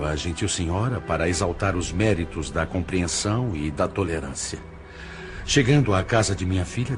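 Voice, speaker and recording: An older male spirit acted by a man confesses with formal, emotional weight in a dramatized audiobook. His voice sits fairly low and swings widely in intonation, with music clearly playing behind it. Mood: subdued, reflective.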